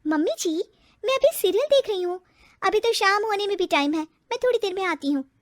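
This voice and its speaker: A woman's voice: very high voice, animated, brisk, no echo, studio quality, voice pushed up. A woman voicing a cheeky, defiant young daughter-in-law who talks back mockingly. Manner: sassy, mocking, fake-crying.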